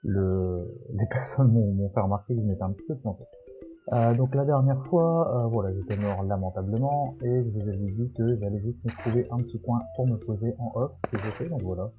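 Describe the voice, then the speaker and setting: A man's voice: fairly low pitch, brisk, mellow, low-quality recording. A man with a cold, chatting hesitantly through a Minecraft video with lots of fillers. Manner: hesitant, many fillers and restarts. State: stuffy with a cold. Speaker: gaming YouTuber. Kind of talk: casual Minecraft commentary. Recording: music playing behind.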